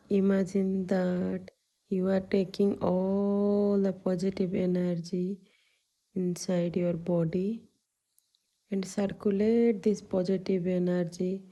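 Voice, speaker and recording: Very slow, mellow woman guiding meditation. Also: mid-range voice. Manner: calming, soothing, unhurried with long pauses. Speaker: meditation guide, yoga teacher. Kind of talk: guided meditation, spoken instructions.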